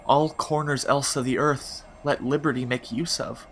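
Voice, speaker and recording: A man playing a lovelorn, grieving young captive prince in Shakespearean audio drama, poetic and deliberate. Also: medium pitch, fairly even intonation, a bit bright, close to an everyday voice. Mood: dreamy sorrow. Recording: noisy remote home recording.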